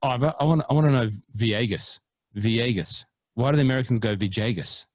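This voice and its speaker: A man's voice: medium pitch, a little fast, no echo. A man co-hosting a golf podcast in casual chat, playful and mock-earnest, hamming up foreign pronunciations. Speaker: podcast co-host.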